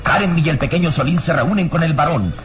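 An actor as the solemn, suspenseful narrator of an old radio serial, delivering scripted dramatic narration. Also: male voice, fairly high pitch, fairly quick, natural voice. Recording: old radio serial over loud music.

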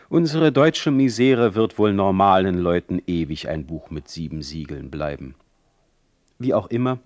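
A man playing a sardonic, imperious German poet in exile for a radio play, declaiming his words. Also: low voice. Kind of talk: radio play acting and letter reading.

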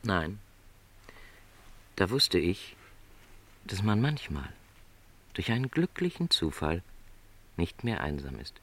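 An actor playing a gentle, lonely middle-aged travelling salesman in a literary radio drama, speaking in a measured, reflective, hesitant way. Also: low-pitched, sing-song, a little hiss or hum, exaggerated voice. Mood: wistful, reflective.

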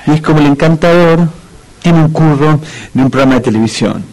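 An actor playing a practical, streetwise best friend in a comedic radio play, chiding and reassuring in colloquial speech with a Rioplatense Argentine accent. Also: male voice, on the high side, brisk, a little mellow, lightly acted, low-quality recording.

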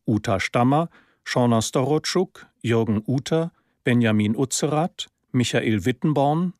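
A male announcer with a mid-pitched voice reads formal scripted credits. He takes his time, and the sound is close and dry with no room around it. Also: a little mellow, dry recording.

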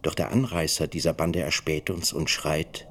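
An actor playing a defiant, bitterly ironic German professor persecuted in the 1930s, in a dramatised radio play. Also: male voice, a bass voice, fairly even intonation, moderate pace, a bit bright, husky, exaggerated voice. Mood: indignant.

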